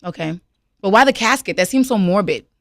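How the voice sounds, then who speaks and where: A woman's voice: mid-range voice, animated, a little fast, no echo, studio quality. Woman radio host, direct and teasing. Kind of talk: radio interview. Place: radio studio.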